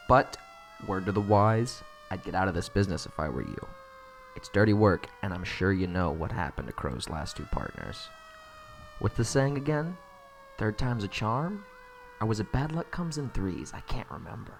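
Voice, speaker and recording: A man acts out a sardonic police detective with a low voice that swings widely in pitch. He is cocky and world-weary, needling people with casual sarcasm in a cyberpunk audio drama. Street noise and sirens sit behind him. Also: huge pitch swings, full character voice. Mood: needling, smug. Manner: sarcastic, taunting, casual drawn-out jabs. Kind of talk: immersive cyberpunk audio roleplay acting. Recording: clear background noise, sirens and street ambience.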